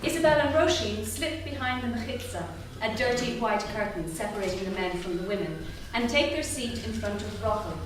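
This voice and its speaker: Animated woman narrator in echoey theatre. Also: a little high, fairly quick, hardly any pauses. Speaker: narrator reading stage directions. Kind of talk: scripted narration at staged reading. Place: theatre stage, live reading. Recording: echoey theatre, far mic, noisy.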